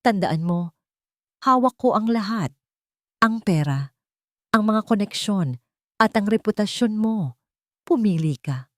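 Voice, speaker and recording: A woman voicing a cold, scheming wealthy wife who talks in a sharp, sneering, threatening way. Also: medium pitch, expressive, unhurried, long pauses, no room sound, studio quality, voice pushed up, natural voice. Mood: menacing.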